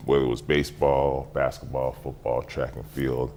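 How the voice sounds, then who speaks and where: A man's voice: rumbling low, flat delivery, moderate pace, darkish tone, slight background noise. A man from Canada, a university athletics administrator, telling stories about his upbringing in an upbeat, chatty way. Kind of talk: casual interview chat.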